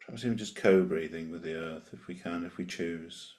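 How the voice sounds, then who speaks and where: Male meditation guide, low register, gentle unhurried delivery with plenty of space between lines. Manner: gentle, unhurried invitations with long pauses.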